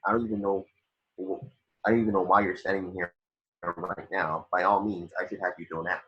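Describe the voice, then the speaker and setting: A man's voice: low-pitched, little pitch movement, fairly quick, a bit bright, breathy edge, highs cut off. A man reading the part of a firm, exasperated veteran homicide detective in an amateur table read of a film script.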